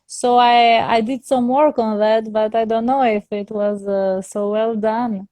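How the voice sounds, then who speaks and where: A woman's voice: a little high, fairly even intonation, slow, a little mellow, no room sound. A woman, a soprano opera singer, speaking English as a second language in a casual interview, hesitant, with many fillers and restarts. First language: not English.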